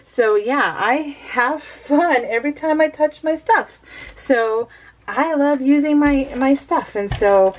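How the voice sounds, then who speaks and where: A woman's voice: high voice, slow pace, poor audio. A middle-aged American woman chatting casually over her craft project as a vlogger, rambling and easily sidetracked, with a bubbly manner.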